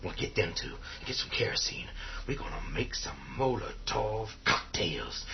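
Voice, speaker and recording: A man's voice: on the low side, lo-fi sound. An actor playing a tough, short-tempered survivor leader in a horror radio drama, blunt and profane as he barks orders. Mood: urgent, commanding. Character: tough, commanding, short-tempered man leading trapped survivors.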